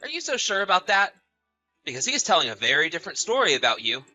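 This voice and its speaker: A man's voice: high-pitched, huge pitch swings, fast talker, pushed and bright, no room sound, soft background music, full character voice. A man playing a betrayed husband in an acted audio drama, bitter, sarcastic and accusatory. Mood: coolly taunting. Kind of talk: acted audio story dialogue and narration.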